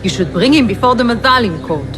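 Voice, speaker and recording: A woman playing a dry, cautious confidante in a game cutscene, giving measured counsel. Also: a little high, expressive, background noise, natural voice. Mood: wry, concerned. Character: wry, cautious female confidante of a poet.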